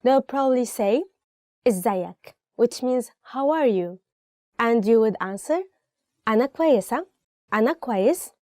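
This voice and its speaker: Bright-toned Egyptian woman teaching, higher voice. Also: no room sound, clean studio sound. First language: Arabic. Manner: conversational, explanatory, giving examples. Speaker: language lesson host. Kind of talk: scripted language lesson, presented informally.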